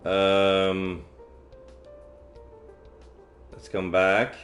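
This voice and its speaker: A man's voice: low voice, monotone, extremely slow, fairly bright tone. A man streaming a visual novel, reading its story aloud with dramatic delivery and offhand casual asides. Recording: game music faintly behind. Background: video game music.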